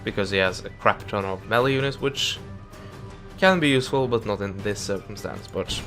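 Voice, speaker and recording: Nasal stuffy-nosed man, low voice. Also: normal speed, a bit bright, soft background music. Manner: chatty, muttering to the game, mild swearing. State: stuffy nose. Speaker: gameplay commentator. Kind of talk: let's play commentary.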